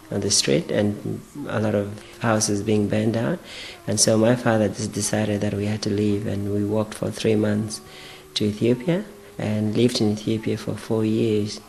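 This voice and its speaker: Gravelly low man from south sudan, flat monotone, calm storytelling. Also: a little hiss or hum, poor audio. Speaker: interviewee. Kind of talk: calm personal account.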